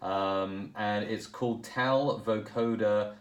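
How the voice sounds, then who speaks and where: A man presenting a casual, hands-on audio software tutorial as a producer. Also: on the low side, steady tone, slow pace. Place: indoor room, not a studio. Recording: roomy echo with noise and clicks.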